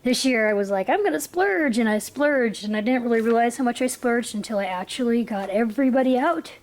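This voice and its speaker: A woman's voice: on the high side, lively intonation, fairly quick. A tired young woman rambling and giggling through a casual haul video, her voice worn out. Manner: rambling, giggly, with frequent restarts. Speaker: vlogger.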